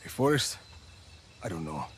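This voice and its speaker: A man playing a weary, gruff action-hero agent in a video game cutscene, speaking in short understated lines. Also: sits low, big pitch swings, normal speed, slight background noise. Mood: terse. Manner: terse, understated.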